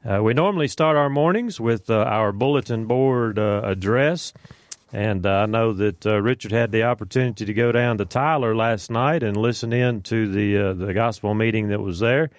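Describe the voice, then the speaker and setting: A man's voice: fairly low pitch, moderate pace, no echo. A man hosting a morning religious radio show, chatting in a folksy way with many fillers and greeting listeners by name. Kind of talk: casual morning radio chat. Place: radio studio. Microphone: studio microphone.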